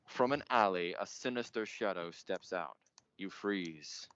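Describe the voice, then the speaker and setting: Man acting a deep, pompous radio mystery host. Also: a little slow. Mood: brooding. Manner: theatrical, portentous, grandiose. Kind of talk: old-time radio mystery narration. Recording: muffled, noisy amateur stage recording.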